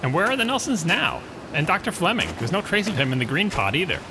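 A man's voice: sits high, very animated, noisy background. An actor playing a curious, eager male crewman on an undersea rescue team in an audio drama. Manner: quick, curious, questioning.

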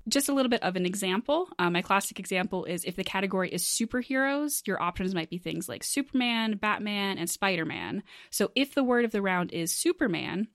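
A woman's voice: medium pitch, animated, a little fast, dry recording, studio quality. A woman hosting a casual panel game, chatty and jokey, often laughing as she explains and runs things. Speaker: game show host.

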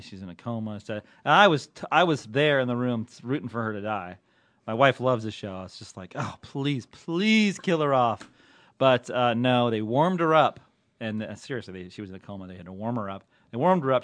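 A man hosting a TV talk podcast, joking and animated, his voice jumping from loud bursts to low mutters. He sits at a close mic in a dry room and keeps a medium pitch. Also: dry recording. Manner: animated, loud, swings between shouting and mumbling. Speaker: podcast host, TV critic. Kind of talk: casual comedic podcast banter.

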